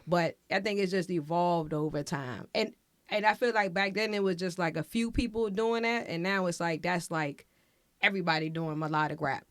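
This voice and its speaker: A woman hosting an interview, chatty and lively as she asks questions. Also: on the low side, moderate pace, no room sound, clean studio sound. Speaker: podcast host. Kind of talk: casual interview chat.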